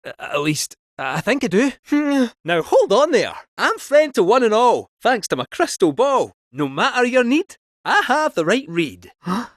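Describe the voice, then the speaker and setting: A man's voice: very high voice, big pitch swings, unhurried, dry recording, studio quality, exaggerated voice. A man voicing a chatty male robot cat fortune-teller with a Scottish accent, cheeky and folksy, full of rhyming quips. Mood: wry chatter. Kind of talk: video game voice acting.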